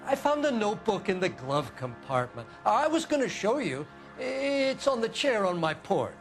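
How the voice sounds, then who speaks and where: A folksy American witness in a detective game, high-pitched and animated. He talks colloquially and defensively, with music underneath. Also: moderate pace. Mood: grudging. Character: defensive, folksy male witness, nosy neighbor. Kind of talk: video game cutscene acting.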